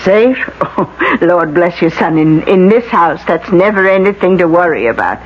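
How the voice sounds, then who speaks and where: An actress playing a frail, cheerful old woman in a 1970s radio drama, halting and folksy with little chuckles. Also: lowish voice, expressive, lightly acted. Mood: cheerful, reassuring. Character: kindly, chuckling old woman climbing stairs. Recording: muffled, noisy old radio broadcast.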